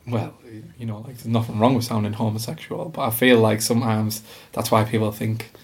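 A man's voice: fairly low pitch, a little fast, slightly rough. A young man with a camp, giggly way of talking, chatting casually on a podcast. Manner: camp, giggly, animated storyteller. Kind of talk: casual chat.

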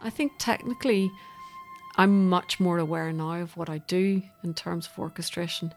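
A woman's voice: fairly low pitch, fairly even intonation, moderate pace, faint music behind. A woman speaking as an interview guest, thoughtfully explaining her work as a composer in loose, unfolding sentences. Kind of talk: reflective interview conversation.

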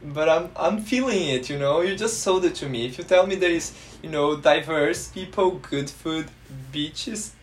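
A man's voice: on the high side, normal speed, a little hiss or hum. A man hosting a podcast interview, friendly and playful, with some hesitant restarts. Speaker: podcast host.

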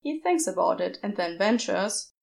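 A woman reading a story aloud as narrator, measured and steady. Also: medium pitch, creaky. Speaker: podfic narrator.

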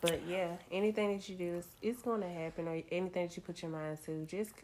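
Low raspy woman talking real candid on a podcast, lotta fillers. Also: fairly even intonation, quick pace, darkish tone, slight background noise. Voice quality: raspy today. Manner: candid and reflective, many fillers. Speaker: podcast co-host. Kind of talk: casual chat.

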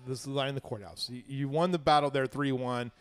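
A man's voice: mid-range voice, fairly even intonation, fairly quick. A man talks casually as the host of a live chat show. His voice sits in a middle register and he speaks conversationally.

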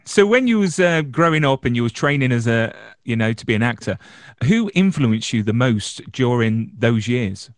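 A man's voice: mid-range voice, very animated, normal speed, no room sound, clean studio sound. A man interviewing an actress, asking brief, friendly questions.

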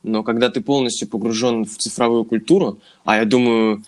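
A man's voice: mid-range voice, brisk. A man in his twenties co-hosting a casual podcast, joking and talking directly to listeners. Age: 20s. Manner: relaxed, joking, addresses listeners as friends. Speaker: podcast co-host.

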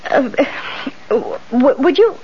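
An actress playing an anxious, fretful rooming-house landlady with a breathy voice in a 1970s radio drama. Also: high-pitched, big pitch swings, a little mellow, full character voice. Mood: frightened, halting. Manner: fluttery, halting, anxious. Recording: dull 1970s radio recording.

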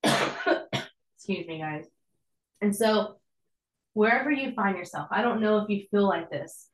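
A young woman giving a casual, encouraging devotional sermon, her voice a little hoarse as she recovers. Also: medium pitch, lively intonation, unhurried, echoey room, low-quality recording. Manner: encouraging, conversational preaching with casual asides.

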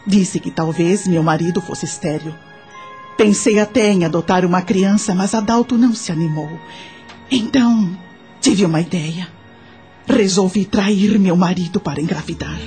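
A woman acting as a widowed mother in a radio drama, with a mellow, fairly low voice. She tells her life story in a measured, confiding way, full of gratitude and remorse, over clear background music. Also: natural voice. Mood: reflective, confiding. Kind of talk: dramatic first-person radio drama narration.

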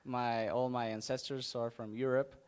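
A middle-aged man from Mexico with a Mexican accent, speaking plainly and a little haltingly as a missionary guest in an informal church interview. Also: mid-range voice, little pitch movement, a little mellow, clear, resonant tone.

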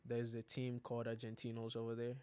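A man from Ghana, a soccer player, talking as a guest in a casual interview with a relaxed, laid-back manner and frequent fillers. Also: mid-range voice, a little fast, smooth, no echo.

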